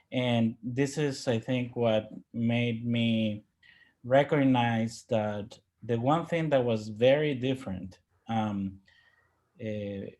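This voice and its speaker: A man's voice: mid-range voice, darkish tone. Hesitant, reflective man from Ecuador. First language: Spanish. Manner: halting, thoughtful, with repeated word starts. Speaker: interview guest, physician. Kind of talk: personal reflection in an interview.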